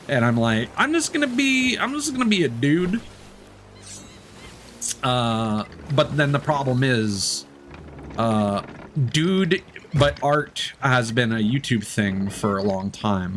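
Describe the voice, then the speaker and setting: A man chatting casually as he livestreams a game, candid and reflective, in a halting, rambling way that often doubles back to correct itself. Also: medium pitch, a bit bright, slight background noise. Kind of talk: casual streamer chat while gaming.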